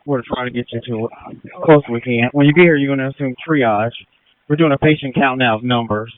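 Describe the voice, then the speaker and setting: Brisk guy coordinating medics over thin radio, talks fast. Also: medium pitch, slight background noise. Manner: brisk, businesslike. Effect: two-way radio. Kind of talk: emergency radio traffic. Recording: phone-like narrow-band two-way radio.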